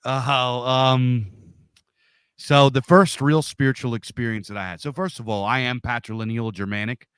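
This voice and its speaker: Loud, forceful man. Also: medium pitch, steady tone, normal speed, smooth, no echo, studio quality. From Pennsylvania, USA. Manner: loud, big-voiced talker. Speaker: interview guest. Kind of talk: casual storytelling.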